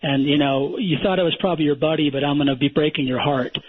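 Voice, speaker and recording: A man speaks over a thin, tinny phone line, his voice a touch higher and husky. He explains his views bluntly and emphatically, like an instructor lecturing a class. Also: quick pace. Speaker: survival instructor, guest. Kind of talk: phone-in radio interview.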